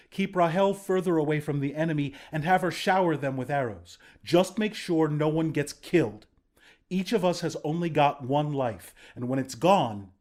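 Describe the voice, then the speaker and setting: A man's voice: fairly high pitch, a little mellow, natural voice. A man playing a battle-hardened resistance commander in a video game, plain-spoken, protective and reassuring. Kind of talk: video game character voice lines.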